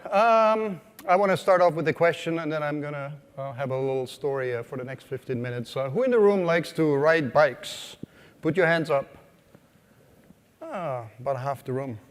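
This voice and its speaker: A man's voice: a little high, normal speed, long reverb tail. A man with an Austrian accent giving a conversational keynote to a live audience, asking questions and using many fillers. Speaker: conference speaker. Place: conference hall.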